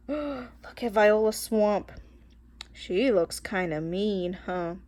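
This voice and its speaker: A woman's voice: mid-range voice, animated, drawn-out words, a little mellow. A woman teacher reading a children's storybook aloud expressively, phrasing carefully and talking directly to her young listeners.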